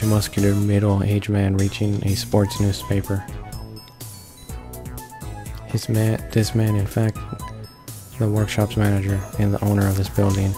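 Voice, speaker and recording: Halting, low-key man reading aloud, flat delivery. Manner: halting reading, stumbles and repeats words. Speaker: let's play narrator. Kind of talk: read-along of a visual novel. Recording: voice-over with game music behind.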